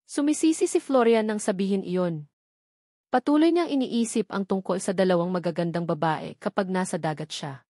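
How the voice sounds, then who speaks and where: A woman's voice: mid-range voice, moderate pace, warm tone, no room sound, studio quality. A woman reading a story aloud as a scripted audiobook narration.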